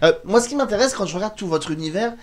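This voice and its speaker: High-pitched male show host. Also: expressive, fast. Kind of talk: conversational interview hosting.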